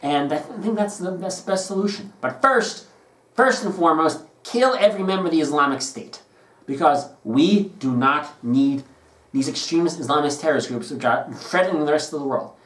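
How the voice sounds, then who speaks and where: A man's voice: a little high, animated, moderate pace, a bit bright, recorded from a distance. A man speaking his mind in an earnest, opinionated monologue, tripping over words and starting phrases again as he goes. He sits well back from the microphone, and the room around him rings with echo. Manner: earnest and opinionated, often stumbling and restarting phrases. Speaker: political commentary vlogger. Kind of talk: unscripted opinion monologue to camera. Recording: roomy sound, speaker away from the mic.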